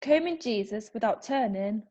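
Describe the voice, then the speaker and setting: A woman's voice: a little high, lively intonation, normal speed, mellow, lo-fi sound. A woman reading stage directions aloud in a plain, matter-of-fact way.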